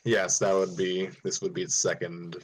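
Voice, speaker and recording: A man's voice: low voice, level tone, moderate pace, husky, faint music behind, poor audio. A man hosting a casual podcast discussion about a story. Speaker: podcast host.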